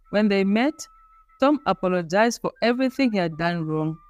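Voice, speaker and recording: A woman's voice: medium pitch, clean tone. A woman storyteller reading a scripted folktale in a plain, simple way, in short sentences.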